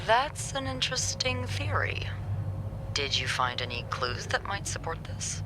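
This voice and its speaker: An actress playing a wry, warm, teasing young hotel receptionist in a video game, with an expressive, conspiratorial way of talking. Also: female voice, medium pitch, a little slow, a little hiss or hum. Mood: intrigued, wry. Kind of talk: naturalistic video game acting.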